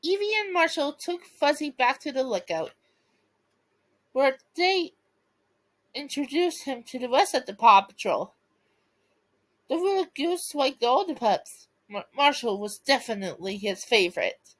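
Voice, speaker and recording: A woman with a very high, lively voice reads a storybook aloud at a slow pace. She is playful and giggly, slipping between narration and casual chat for her viewers. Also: poor audio. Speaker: host reading a bedtime story to viewers. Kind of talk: storybook read-aloud with casual asides to fans.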